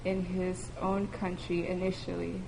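Flat-voiced woman asking a question. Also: lowish voice, a little slow, vocal fry. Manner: questioning, hesitant. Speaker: group participant. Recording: hissy recording.

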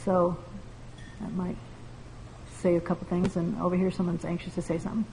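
Woman discussion leader, low-ish voice, halting earnest delivery, roomy hall sound with faint hiss. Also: little pitch movement, warm tone. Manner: earnest, halting, many fillers. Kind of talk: devotional group discussion. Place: large room with a group.

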